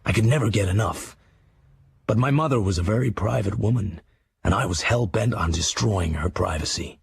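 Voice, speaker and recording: An actor playing a haunted, obsessive middle-aged crime writer, narrating his dark memoir in terse confession with a gravelly, husky American voice. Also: on the low side, moderate pace, slight background noise. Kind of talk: scripted first-person crime memoir narration.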